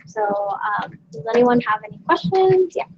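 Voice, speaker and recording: Lively high-voiced woman moderating a panel. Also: normal speed, creaky, highs cut off, noisy background. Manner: upbeat, hesitant phrasing with many ums. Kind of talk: moderating a student Q&A. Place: college presentation event. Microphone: handheld mic.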